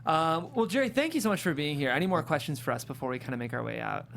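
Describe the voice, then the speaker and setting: A man with a fairly high voice hosts a casual podcast discussion. He is encouraging and generous with compliments, and faint noise sits behind his voice. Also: expressive, quick pace, gravelly, fairly bright tone. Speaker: host, writer.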